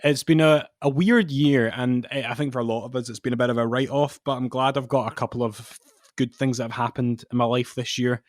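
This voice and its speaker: Relaxed, rambling podcast co-host. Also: male voice, mid-range voice, brisk, no echo, studio quality. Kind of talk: casual chat. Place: home study.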